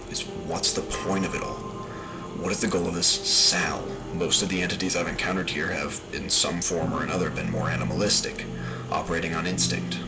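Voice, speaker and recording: An actor playing a brooding paranormal investigator who muses and questions himself while recording case notes in an audio drama. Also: male voice, extremely low pitch. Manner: deliberate, musing, self-questioning. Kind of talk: audio drama monologue, spoken case log. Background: music score.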